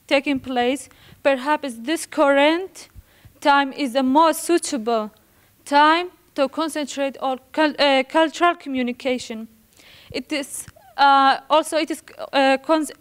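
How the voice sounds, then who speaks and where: A young woman from Iraq with a high-pitched voice delivers a student presentation. She sounds nervous and reads carefully in a formal academic style. Her delivery is halting, and she often restarts words she has stumbled on. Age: young adult.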